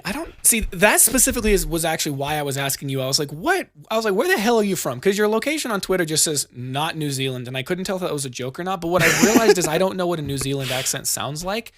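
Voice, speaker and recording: An American man hosts a podcast in a chatty, storytelling way, swearing casually. His voice is bright and a little high, and the sound is dry and close to the mic. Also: no echo. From United States. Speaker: podcast host.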